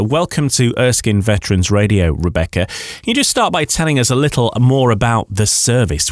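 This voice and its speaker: A man's voice: lowish voice, no echo, clean studio sound. A man hosting a conversational radio interview, often repeating words as he works his way into questions.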